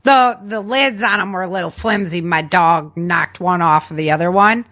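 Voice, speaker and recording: A woman's voice: fairly low pitch, moderate pace, fairly bright tone. A woman hosting a podcast, chatting casually about survival tips in an informal, plain-spoken way. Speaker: podcast host.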